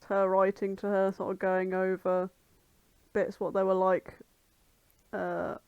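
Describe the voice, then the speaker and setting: A woman's voice: medium pitch, clear, resonant tone, dry recording, highs cut off. A British woman talks in an informal, sweary podcast style. Her voice sits in a middling register. The recording is very dry, with hardly any room sound. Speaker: podcast co-host. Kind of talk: casual chat about fan fiction.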